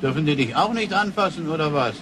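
Man playing a high-voiced stubborn old grandfather. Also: expressive, fast talker, background noise, natural voice. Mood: gruff, concerned. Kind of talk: science-fiction radio play. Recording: music behind the voice.

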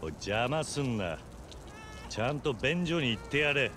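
An actor playing a stern, tough, tired middle-aged man with a gruff, terse manner in a video game. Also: mid-range voice, big pitch swings, normal speed, natural voice. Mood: stern, threatening. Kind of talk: dramatic video game voice acting.

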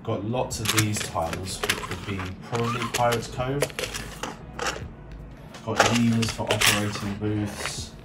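Casting: male hobby vlogger, informal and unscripted, lowish voice, recorded well away from the mic in an echoey room. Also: fairly even intonation, unhurried, very noisy. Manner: informal, thinking aloud. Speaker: YouTube host showing a hobby build. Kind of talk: casual unscripted show-and-tell vlog. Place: indoor room beside the build. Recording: echoey room, distant mic, music behind.

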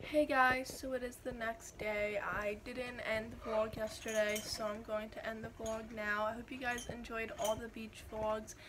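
A woman's voice: a little high, flat delivery, moderate pace, few pauses, poor audio. Chatty young woman vlogging on her phone. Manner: chatty, upbeat, lots of "so" and "um". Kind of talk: casual vlog chat to camera. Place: hotel room and outdoors at a beach town. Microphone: phone camera at arm's length.

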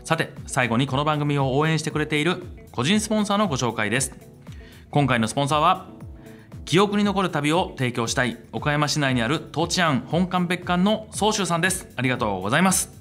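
A man's voice: fairly high pitch, expressive, brisk, a bit bright, faint music behind. A middle-aged man hosting a radio show in a casual, chatty style, close to a studio microphone. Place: small indoor studio. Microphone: close studio microphone on a stand.

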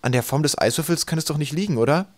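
A man's voice: a little high, rapid-fire, voice pushed up, close to an everyday voice. Man reading as a polite, inquisitive boy. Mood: curious. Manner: polite, questioning. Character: polite, inquisitive eleven-year-old boy.

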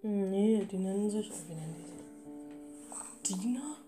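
A woman's voice: mid-range voice, expressive, normal speed. A woman chatting casually as a let's player during gameplay. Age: 20s. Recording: loud guitar music over voice. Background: guitar music.

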